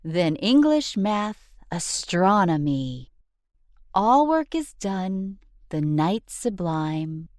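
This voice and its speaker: Woman doing a kids book read-aloud, sing-song and animated, really dry close sound. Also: on the low side, extremely slow, no room sound. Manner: expressive, sing-song delivery. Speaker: children's book reader. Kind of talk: scripted rhyming read-aloud storytelling.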